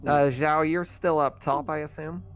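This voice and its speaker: A man's voice: a little high, fairly even intonation, a little slow, a bit bright, slight background noise. A man running a tabletop roleplaying game as game master, narrating off the cuff in a casual, conversational way.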